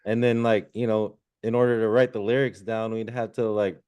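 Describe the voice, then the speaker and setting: Middle-aged man, low smooth voice, laid-back, slangy, storytelling guest. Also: level tone, moderate pace, darkish tone. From born in Zambia, raised in Washington state. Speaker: guest musician and restaurant owner. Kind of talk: casual storytelling.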